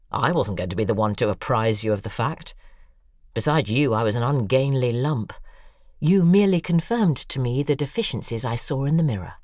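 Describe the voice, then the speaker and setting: A woman's voice: extremely low pitch, darkish tone, dry recording, clean studio sound, heavily acted. An actress playing a cold, haughty woman in an audio drama, speaking in an articulate, disdainful and cutting way. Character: cold, haughty older woman, scornful former object of a crush. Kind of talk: audio drama acting, reading out emails.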